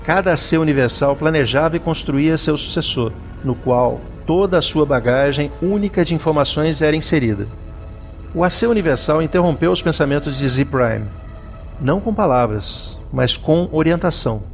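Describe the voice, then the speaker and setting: A man's voice: a little high, moderate pace. A man narrating a scripted audio drama in a solemn, literary reading style, with music behind him.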